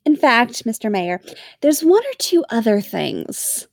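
A woman reading an audiobook-style story, voicing a cheerful, efficient female official who is gently insistent. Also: fairly high pitch, huge pitch swings, a little slow, creaky, no echo, studio quality, exaggerated voice. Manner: gentle, guiding, patient, teacherly.

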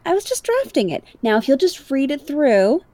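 A woman reading an audiobook-style story, voicing a cheerful, efficient female official who is gently insistent. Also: high-pitched, big pitch swings, moderate pace, no room sound, voice pushed up. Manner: gentle, guiding, patient, teacherly.

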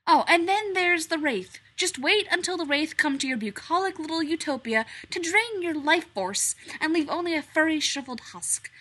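A woman's voice: very high voice, expressive, normal speed, hardly any pauses, fairly bright tone, pitched above the performer's own voice. A woman voicing a sneering, arrogant male alien stranger in a storybook reading. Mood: menacing. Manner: sneering, scornful.

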